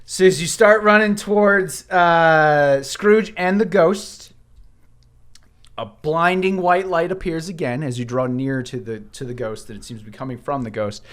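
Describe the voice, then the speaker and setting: A man's voice: a little high, steady tone, a little slow, a bit bright. A man acting as game master, casually narrating a tabletop role-playing game.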